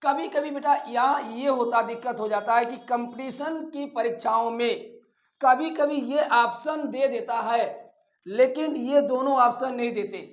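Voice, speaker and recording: A man teaching a grammar lesson step by step, deliberate and instructive, as if dictating piece by piece. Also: very high voice. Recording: echoey, roomy sound.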